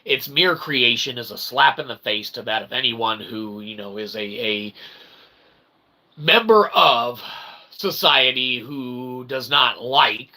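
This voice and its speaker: A man giving rambling, irreverent opinion commentary in wordy, repetitive, slangy phrasing, often checking in with a quick tag question. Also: medium pitch, bright, forceful tone, rough recording. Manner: punctuates points with "okay?" and "all right?". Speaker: host of a commentary channel.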